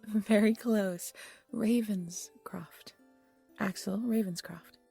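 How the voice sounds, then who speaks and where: A woman voicing an old grandmother storyteller in an audio drama, speaking in a breathy, hushed and deliberate way. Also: mid-range voice, steady tone, no echo, full character voice. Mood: doting, teasing. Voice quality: breathy, whispery. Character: gentle old grandmother storyteller, turning eerie and insistent. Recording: music under the voice.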